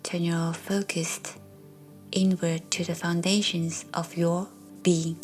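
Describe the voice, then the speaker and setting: Soft, low-pitched woman guiding a meditation. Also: flat delivery, takes it slowly. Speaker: meditation guide. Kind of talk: guided meditation narration. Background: soft background music.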